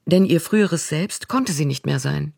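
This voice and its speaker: A reflective voice reads literary prose slowly and quietly. The tone is slightly breathy, and the recording is dry and close to the mic. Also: medium pitch, no room sound. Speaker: voice-over reader. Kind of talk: literary reading of prose excerpts.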